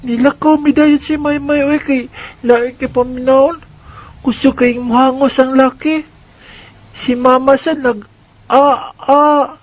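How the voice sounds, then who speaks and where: A man's voice: very high voice, fairly even intonation, slow, full character voice, rough recording. A man playing an eleven-year-old boy who narrates his own story in a radio drama, earnest and observant. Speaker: narrator and main character.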